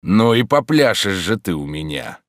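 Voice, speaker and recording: A hulking, brutish ex-convict who bullies and shouts coarsely, as a man acts him out. He is loud and menacing, with a dry, close sound. It is an audiobook reading. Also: low voice, very animated, normal speed, no echo, heavily acted. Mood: shouting.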